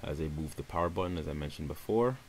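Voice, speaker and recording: A man with a very low-pitched voice walks viewers through a phone unboxing in a relaxed, upbeat tone. He calls his audience "guys" and speaks close to the mic. A faint hum sits under his voice. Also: fast. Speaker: tech reviewer. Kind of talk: casual unboxing voice-over.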